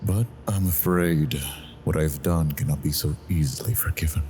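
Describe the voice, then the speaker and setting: An actor playing a proud fallen angel weighed down by guilt, speaking quietly and tersely in a fan audio drama. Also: male voice, a bass voice, normal speed, slight background noise. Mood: guarded.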